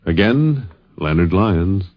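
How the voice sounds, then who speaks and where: A man's voice: low-pitched, lively intonation, slow pace, no echo. A man announcing formally from a script on 1950s radio, with plucked guitar music behind him. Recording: dull 1950s radio recording.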